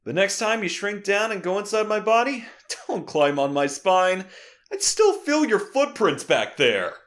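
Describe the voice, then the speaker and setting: Audiobook echidna buddy, male narrator acting: wry, good-humored, raised pitch, animated intonation. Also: measured pace, full character voice. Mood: wry warmth.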